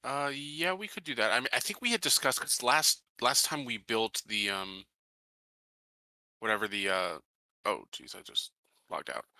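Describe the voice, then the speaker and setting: A man talking casually as a tabletop game player, halting and feeling his way through questions. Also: medium pitch, lively intonation, bright, forceful tone, dry recording.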